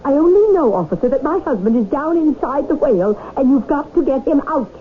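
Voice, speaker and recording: An actress playing a frantic, demanding, impatient wife in a 1970s radio drama. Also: female voice, high-pitched, expressive, fairly quick. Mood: insistent. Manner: insistent, exasperated, melodramatic. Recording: thin, phone-like 1970s radio recording.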